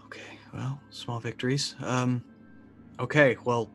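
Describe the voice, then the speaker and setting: A man in his thirties talking casually as a tabletop game player, asking questions and thinking out loud. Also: mid-range voice, steady tone, unhurried, soft background music. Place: indoor room.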